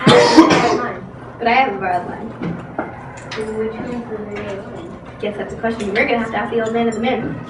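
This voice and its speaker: A woman's voice: on the high side, steady tone, a little fast. A female performer acting out lines in an amateur readers theatre piece. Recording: noisy echoey room from far off.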